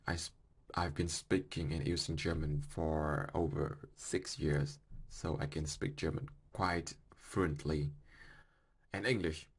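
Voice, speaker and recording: A man in his twenties from Vietnam with a Vietnamese accent, hosting a solo podcast in careful, halting English with small grammar slips. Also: a bass voice, fairly even intonation, husky. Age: 20s. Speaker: podcast host.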